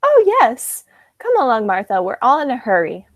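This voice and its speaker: Genteel, fluttering old lady, acted by a young woman: amateur radio play, very animated pitch, dry recording, gently insistent. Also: moderate pace, dry recording, dull audio. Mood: flustered. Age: young adult.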